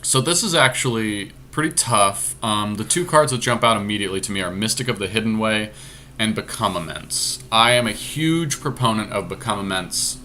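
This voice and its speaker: Low, bright-voiced man talking card strategy. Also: normal speed. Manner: analytical, explanatory. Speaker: podcast host and card game drafter. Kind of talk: casual draft commentary, thinking aloud. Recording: faint noise, amateur screen-capture recording.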